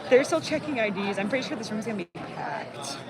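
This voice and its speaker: A woman's voice: mid-range voice, big pitch swings, fairly quick, poor audio. A young woman hosting a convention fan panel, chatting casually, joking, laughing and swearing into a handheld mic. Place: convention panel room indoors. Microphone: handheld microphone. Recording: room with loud crowd chatter. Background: chattering audience crowd.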